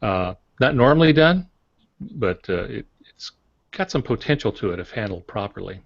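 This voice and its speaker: An older man co-hosting a writing podcast, explaining in a measured way with hesitant fillers. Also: lowish voice, expressive, creaky, dry recording. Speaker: podcast co-host. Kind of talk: casual writing craft explanation. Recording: dry, dull lo-fi audio.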